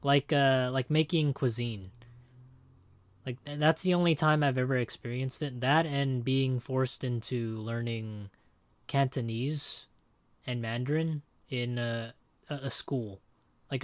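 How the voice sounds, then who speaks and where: A man's voice: mid-range voice, no room sound. A young man with an American accent, chatting casually while gaming and rambling with lots of fillers and restarts. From born in Hong Kong, raised in the United States. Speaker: streamer.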